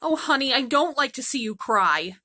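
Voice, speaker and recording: A woman's voice: high voice, expressive, normal speed, sharp tone, pitched above the performer's own voice. A woman voicing a crude, tough robber girl who swears and makes threats with her knife. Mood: tough, teasing.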